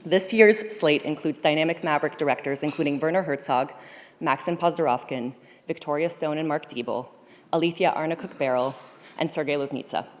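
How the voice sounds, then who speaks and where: Woman w a really low voice doing a scripted conference welcome, big echoey hall. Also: brisk. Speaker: conference co-programmer, host introducing event. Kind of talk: scripted welcome speech at a public event. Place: large studio auditorium, on stage. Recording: echoey hall with long reverb.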